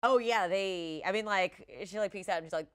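A woman in her thirties, an expressive guest chatting casually on a talk show. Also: mid-range voice, a little fast, smooth. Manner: animated, expressive. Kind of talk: casual chat. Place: indoor studio set.

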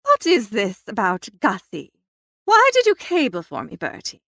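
A woman's voice: on the high side, wildly swooping pitch, normal speed, gravelly, a bit bright, dry recording, clean studio sound, exaggerated voice. A woman playing a dignified, stately older aunt with a commanding air in an audiobook story. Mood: demanding. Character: dignified older aunt, quietly commanding stage-duchess type.

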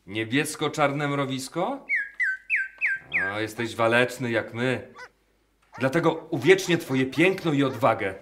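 An actor playing an obsessive, officious military ornithologist in a radio drama, speaking in a deliberate, precise manner with menacing tenderness. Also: male voice, a little high, noisy background. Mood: obsessive, coaxing. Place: performed live in a wooden barn.

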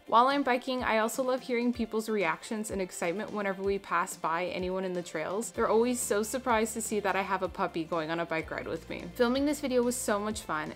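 Young woman, pet vlogger, friendly upbeat voice-over, faint music underneath. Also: medium pitch. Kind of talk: scripted voice-over narration.

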